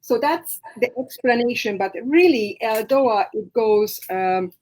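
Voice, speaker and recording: A woman's voice: fairly high pitch, expressive, a little mellow, rough recording. A middle-aged woman from Romania, whose first language is Hungarian, patiently explaining her therapy work as a guest in a live video-call interview, with frequent fillers. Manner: explains slowly with many fillers.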